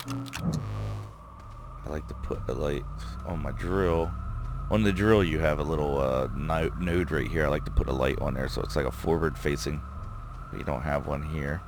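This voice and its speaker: A man giving casual let's-play commentary, thinking aloud and rambling with fillers as he goes, his voice going a bit hoarse. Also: a bass voice, background noise. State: losing his voice. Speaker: gameplay commentator.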